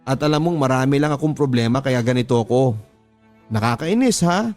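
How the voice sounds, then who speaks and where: A man's voice: mid-range voice, expressive, brisk, darkish tone, faint music behind, natural voice. A man with a Filipino accent voicing a young, insistent, sweetly deceitful girlfriend in a radio drama. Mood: defensive, annoyed.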